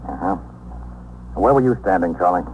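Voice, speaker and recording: Skeptical American detective lieutenant, deep voice, curt, insistent, 1950s radio drama, faintly noisy recording. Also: male voice, lively intonation, moderate pace, a little mellow. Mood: stern, insistent. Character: hard, skeptical male police detective lieutenant.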